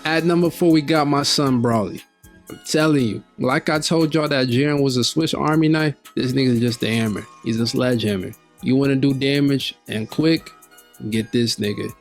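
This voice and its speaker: Man, mid-pitched voice, slangy hyped gaming talk, faint background music. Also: moderate pace. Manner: slangy, hyped, laid-back street talk. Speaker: gaming video creator. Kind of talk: casual gaming commentary.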